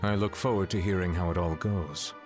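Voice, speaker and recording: A man's voice: low-pitched, fairly even intonation, fairly quick, natural voice. A man voicing a composed, serious and courteous officer in a video game, formal and precise. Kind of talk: video game dialogue acting. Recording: clear game music behind. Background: background music.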